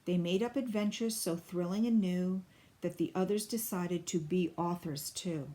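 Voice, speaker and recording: A woman reading a children's picture book aloud in an expressive, deliberate storybook style. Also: lowish voice. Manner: deliberate, clearly phrased storybook delivery.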